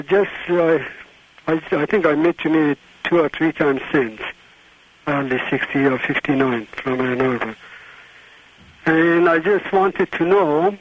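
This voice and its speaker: Hesitant caller from Afghanistan. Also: male voice, on the high side, slight background noise. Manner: hesitant, slightly formal phrasing. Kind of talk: phoning in to a radio show. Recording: muffled radio phone-in.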